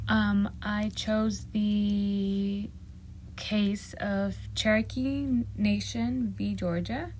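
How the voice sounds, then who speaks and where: A woman whose first language is Spanish gives a nervous, hesitant class presentation, with many pauses, ums and restarted words. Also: mid-range voice, steady tone, darkish tone, a little hiss or hum, rough recording. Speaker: student presenting a project.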